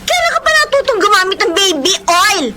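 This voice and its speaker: An actress playing a suspicious, hurt young wife in a Filipino radio drama, emotional and accusing, with a Filipino accent. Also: female voice, very high-pitched, big pitch swings, a little fast. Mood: furious. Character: suspicious, hurt young wife confronting her cheating husband. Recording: noisy radio drama with background ambience.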